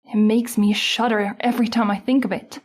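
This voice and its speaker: A woman's voice: mid-range voice, level tone, a little fast, close to an everyday voice. A woman playing a frightened, cautious member of a haunted household in an old-time radio horror drama.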